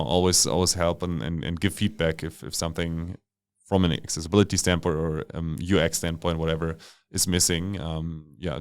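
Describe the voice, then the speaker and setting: A man speaking as a startup founder and interview guest, casually, with halting phrasing and repeated words. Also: deep voice, smooth, no room sound.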